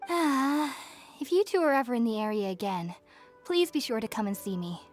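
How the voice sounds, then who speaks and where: A gentle young woman scholar in game dialogue. Her voice is slightly high and friendly, with soft music behind it. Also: lively intonation, moderate pace. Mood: gentle, grateful. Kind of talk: video game voice acting.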